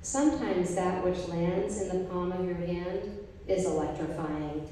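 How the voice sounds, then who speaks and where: A middle-aged woman recites a poem at a gallery gathering, taking each line slowly and evenly. Her mellow voice rings through an echoey room with a long reverb tail. The mic picks her up from some distance. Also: fairly low pitch, a little hiss or hum. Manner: slow, measured recitation from paper pages. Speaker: poet reading a group poem. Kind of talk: poetry reading at a gallery event. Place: art gallery, indoors, mid-sized room. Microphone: handheld mic, filmed from a distance.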